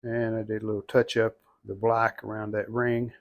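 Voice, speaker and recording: A man's voice: fairly low pitch, flat delivery, moderate pace. Plain-spoken man narrating a hands-on repair. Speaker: hobbyist restorer demonstrating a repair. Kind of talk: casual hands-on how-to narration.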